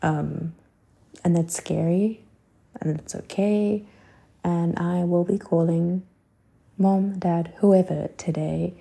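A woman in her twenties hosting a podcast, musing casually in a rambling way with many fillers and soft whispered asides. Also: on the low side, fairly even intonation, slow, gravelly, pauses often. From South Africa. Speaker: podcast host. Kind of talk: casual reflective monologue.

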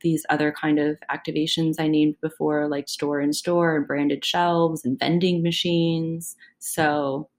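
Woman, podcast guest, low voice, excited, chatty, casual. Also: unhurried, few pauses. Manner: excited, chatty, laughs easily. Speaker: guest, business founder. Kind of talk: casual interview chat.

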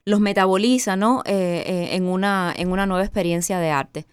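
Lady art historian, super clear voice, lots of eh and no?, dry studio. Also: medium pitch, dry recording. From Cuba. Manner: measured, thinks aloud with many "eh" fillers and tag "¿no?". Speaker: guest, art historian and curator. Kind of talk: interview explanation. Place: university radio studio.

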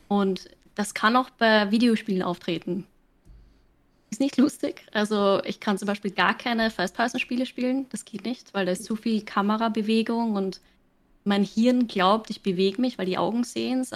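A woman with an Austrian accent, a guest streamer chatting casually on a podcast and explaining things with many fillers. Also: medium pitch.

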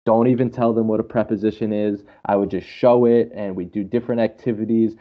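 Low-voiced man explaining casually, muffled sound. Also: steady tone, brisk. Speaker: English teacher. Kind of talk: casual conversational explanation with anecdotes.

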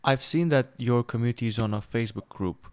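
A man's voice: medium pitch, fast talker, darkish tone, smooth. A man with a mid-range voice hosts a conversational interview, winding through his questions with fillers and asides before he lands on his point. The sound is close and dry, with no room echo around him. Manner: rambling questions with fillers and side remarks. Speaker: podcast host.

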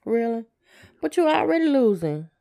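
A woman's voice: fairly high pitch, very animated, normal speed, vocal fry, mellow. A woman co-hosting a podcast in a casual, conversational style. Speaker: podcast co-host.

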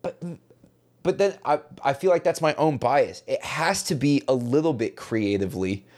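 A man's voice: medium pitch, lively intonation, moderate pace, a little mellow. A man co-hosting a podcast in casual, opinionated conversation, emphatic, repeating himself for stress and swearing freely. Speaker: podcast co-host.

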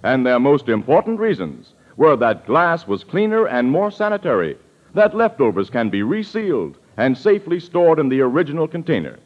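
A man's voice: mid-range voice, big pitch swings, moderate pace. An American man announcing on 1940s radio, reading scripted sponsor copy in a polished, declamatory way. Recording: dull old radio recording, music behind.

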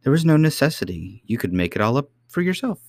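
A man's voice: medium pitch, very animated, quick pace, a little mellow, dry recording, clean studio sound, voice pushed up. A man voicing a sceptical, easily vexed nursemaid in a storybook, with a scolding, dismissive manner. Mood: cold, vexed.

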